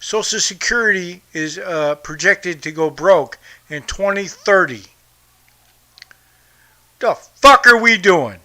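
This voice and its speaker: A man from the United States hosts his own podcast, delivering a blunt, profane political rant. His voice sits a bit high and sounds bright and forceful. He talks in a combative way that never lets up. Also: lively intonation, slow pace. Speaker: podcast host. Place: home in Brazil.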